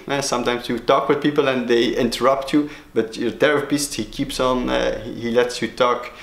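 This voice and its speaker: A man from Belgium, a native Dutch speaker with a Belgian Dutch accent, talking to camera as a sobriety coach, halting with many fillers and restarts. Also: medium pitch, reverberant. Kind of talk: solo talking-head vlog.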